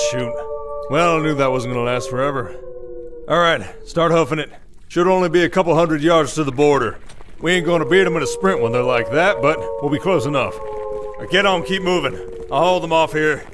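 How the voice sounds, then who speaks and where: A man's voice: fairly high pitch, close to an everyday voice. Man acting werewolf sheriff, folksy, wry, weary, protective, audio roleplay. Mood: urgent. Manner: folksy, dry-humored, rambling explanations.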